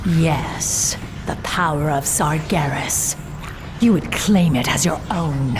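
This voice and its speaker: An actress playing a stern, resolute night elf warden in a fantasy game, speaking in a formal, commanding, grave manner. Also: female voice, sits low, slow pace, over music. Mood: defiant. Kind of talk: dramatic fantasy game voice acting.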